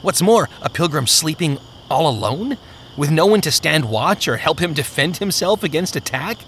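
A man voicing a timid, nervous male robber who frets and questions in a raised, hushed voice. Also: a little high, expressive, normal speed, a little hiss or hum, voice pushed up. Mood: suspicious. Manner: fretful, questioning.

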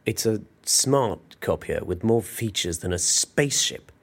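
An actor playing a fussy, wry British office worker in a naturalistic audio drama, dry and complaining. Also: male voice, on the low side, animated, a little slow, breathy edge, exaggerated voice. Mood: exasperated, wry.

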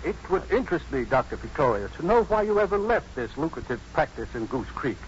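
An actor playing a courteous, officious university dean chairing a hearing with measured formality in a 1950s radio drama. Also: male voice, fairly high pitch, sing-song. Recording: dull noisy 1950s radio recording.

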